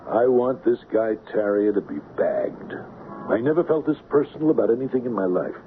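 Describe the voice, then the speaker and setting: An actor playing a middle-aged, driven police lieutenant with a firm, pointed manner in old-time radio drama. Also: male voice, medium pitch, expressive, fairly quick, loud music behind the voice, close to an everyday voice. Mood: earnest. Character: dogged veteran male police lieutenant, righteous, driven. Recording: tinny, band-limited, noisy old radio recording.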